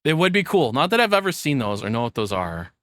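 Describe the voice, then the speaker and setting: A man's voice: mid-range voice, sing-song, fast talker, fairly bright tone, no room sound, clean studio sound. A man giving casual, playful, tongue-in-cheek live commentary as a streamer reacting to a video.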